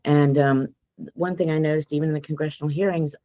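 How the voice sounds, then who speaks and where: A woman, a guest author on a podcast, hoarse from allergies and speaking in an earnest, emphatic, faith-driven way. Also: deep voice, fairly even intonation, fast, no echo, lo-fi sound. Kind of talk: podcast interview.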